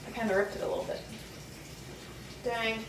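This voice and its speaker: A woman's voice: fairly high pitch, little pitch movement, normal speed. Middle aged woman vlogger just chatting thru a chore, echoey room, noisy recording. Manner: informal, thinking aloud. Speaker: home vlogger. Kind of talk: casual chat while decorating. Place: small laundry room indoors at home. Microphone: camera or phone set a few feet away. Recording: roomy, distant mic, noisy background.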